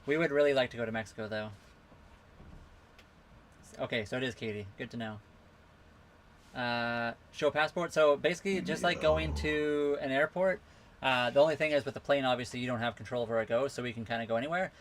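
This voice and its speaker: A man with a voice of ordinary pitch talks loosely and easily, wandering from one answer to the next as he reads out chat questions. He streams from a phone aboard a sailboat at a marina, with a faint hum in the background. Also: brisk, long pauses. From western Canada. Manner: easygoing, rambling, reading out and answering chat comments. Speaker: livestream host answering viewer questions. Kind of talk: casual live Q&A chat.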